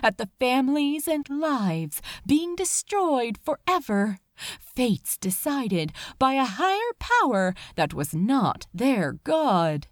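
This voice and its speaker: A woman narrates a dramatic story with solemn feeling. Her voice is fairly high and very expressive in pitch, and she takes her time over each phrase. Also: takes it slowly, no echo. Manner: solemn, emotive. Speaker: audiobook narrator. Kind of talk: dramatic scripted narration of fan fiction.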